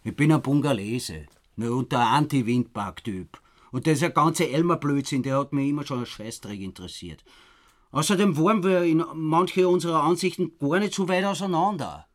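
An actor playing a grim, self-assured survivalist villager in a radio play, blunt and colloquial, with an Austrian accent. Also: male voice, fairly high pitch, lively intonation, moderate pace, lightly acted. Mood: scornful. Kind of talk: naturalistic radio play dialogue.

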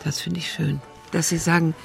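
An actress playing a sociable, curious widowed grandmother in her sixties, speaking haltingly and agreeably in a naturalistic radio drama. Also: sits low, level tone, vocal fry, faint music behind. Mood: agreeable, curious. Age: 60s. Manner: halting, agreeable, seeks confirmation with tag questions.

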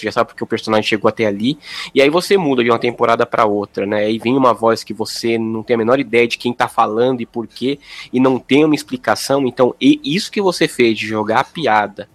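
A man in a casual podcast conversation, explaining his point in an earnest way. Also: mid-range voice.

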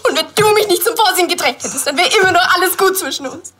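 A woman's voice: extremely high pitch, quick pace, pushed and bright. A woman playing a hurt, stubbornly loyal schoolgirl in a children's audio drama, defensive and emotional. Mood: angry, tearful.

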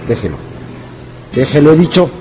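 A man's voice: on the high side, very animated, moderate pace, warm tone. An actor playing a stern, indignant male schoolteacher in a radio drama, scolding with an authoritarian manner.

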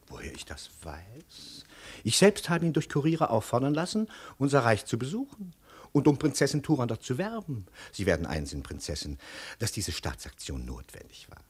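Very husky, sly court chancellor. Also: male voice, medium pitch, wildly swooping pitch, normal speed. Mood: smugly reporting. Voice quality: very husky, breathy. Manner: smooth, insinuating, theatrical, lapsing into whispers. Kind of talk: 1950s German radio play acting.

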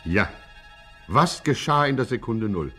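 An actor narrating a vintage German radio crime satire with mock-dramatic suspense. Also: male voice, medium pitch, animated, measured pace, fairly bright tone, faint music behind. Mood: mock-grave suspense. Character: mock-grave narrator of a gangster serial.

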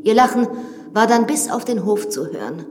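An actress playing a composed, matter-of-fact widow of an old manor in a German radio drama, speaking in a measured, guiding way. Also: female voice, on the high side, lively intonation, a little mellow, slight background noise, lightly acted. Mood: wistful. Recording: echoey reverberant vault.

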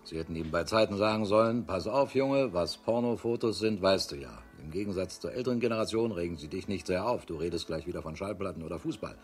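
An actor in a radio crime drama playing a sardonic, world-weary police chief in his fifties with a husky voice, grumbling as he talks. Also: sits low, fast talker, few pauses, faint music behind, lightly acted. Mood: sardonic, puzzled. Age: 50s.

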